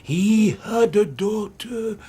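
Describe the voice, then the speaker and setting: An actor playing a bitter, crippled older ex-soldier telling his story in a radio drama, halting and emotional, with a rasping voice. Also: sits high, dark tone, exaggerated voice. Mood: reminiscing.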